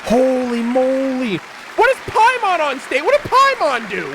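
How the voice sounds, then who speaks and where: A man's voice: very high-pitched, very animated, unhurried, a crowd in the background, noisy background. A man giving casual, slangy reaction commentary as a game streamer, easily amazed. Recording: game music behind.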